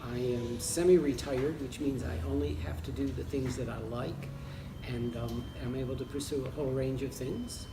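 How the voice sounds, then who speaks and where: A semi-retired American man in his sixties, answering interview questions in a relaxed way with frequent pauses and restarts. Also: medium pitch. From United States. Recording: roomy, distant mic with background noise.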